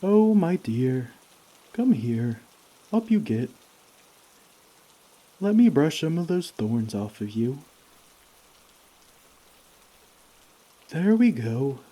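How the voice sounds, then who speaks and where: A man playing a slow, mellow, gentle giant. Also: fairly high pitch, very animated, heavily acted, low-quality recording. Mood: soothing, tender. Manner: tender, old-fashioned, unhurried phrasing. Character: gentle, caring friendly male giant, fussing tenderly over a little friend. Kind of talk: ASMR comfort roleplay.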